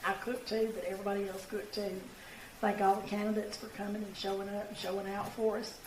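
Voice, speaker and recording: Woman, raspy, folksy, echoey room, distant, noisy background. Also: medium pitch, flat delivery, a little fast, darkish tone. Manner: plain, thankful, folksy. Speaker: county party chairperson. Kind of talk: closing remarks at a picnic event. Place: indoor event hall.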